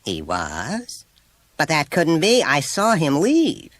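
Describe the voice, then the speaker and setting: A man's voice: mid-range voice, huge pitch swings, measured pace, pushed and bright, dry recording, pitched above the performer's own voice, full character voice. A man voicing a proud, formidable, well-bred mother with a haughty, clipped and indignant manner. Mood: startled disbelief.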